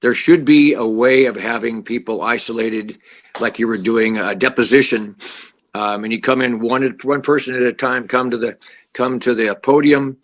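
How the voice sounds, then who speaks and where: A man on a city council who speaks loudly and haltingly, often restarting his sentences, calling in to the meeting remotely. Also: medium pitch, steady tone. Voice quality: loud voice. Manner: halting, many repeated starts, earnest. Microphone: remote video call.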